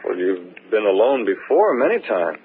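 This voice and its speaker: A solicitous husband coaxes and insists with patronizing smoothness and a hint of menace. His mid-pitched voice moves up and down dramatically. The vintage radio recording is thin, with light hiss. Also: very animated, normal speed, narrow, tinny audio. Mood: soothing, coaxing. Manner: soothing, insistent, coaxing. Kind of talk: old-time radio mystery drama acting.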